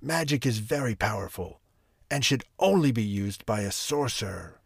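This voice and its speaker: A man's voice: fairly low pitch, expressive, normal speed, vocal fry, breathy edge, dry recording, clean studio sound, full character voice. A man doing a stern old sorcerer. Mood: angry. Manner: stern, commanding.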